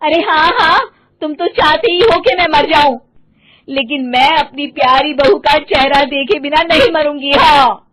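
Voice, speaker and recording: A woman's voice: high voice, a little slow, a bit bright, pitched above the performer's own voice, rough recording. A woman voicing a scheming, quarrelsome middle-aged village stepmother in a theatrical, scolding cartoon style. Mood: angry, shouting. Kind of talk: Hindi cartoon story voice acting.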